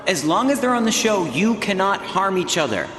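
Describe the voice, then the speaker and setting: A man's voice: sits high, big pitch swings, normal speed, a bit bright, a little hiss or hum. A man voicing a glib, scheming supernatural reality show host in a video game, breezy, flippant and theatrical. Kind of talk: cartoonish video game acting. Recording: very echoey hall sound, faint ambience.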